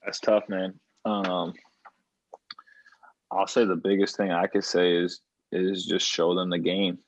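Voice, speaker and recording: A young man from New York, a professional baseball player, talking calmly and reflectively as a podcast guest. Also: sits low, takes it slowly, no room sound, rough recording. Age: young adult. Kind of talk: podcast conversation.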